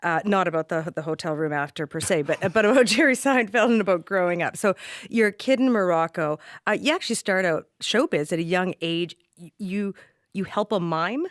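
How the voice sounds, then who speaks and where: A woman's voice: lowish voice, lively intonation, brisk, dry recording. A woman hosting a radio interview from the studio, teasing her guest and laughing easily. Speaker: radio show host, interviewer. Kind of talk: casual interview.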